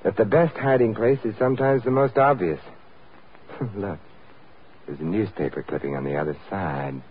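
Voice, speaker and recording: A man's voice: on the low side, normal speed, lightly acted. A man playing a suave, wry, confident adventurer-sleuth in 1940s radio style. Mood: cool, taunting. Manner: urbane, wry. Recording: tinny, noisy old radio recording.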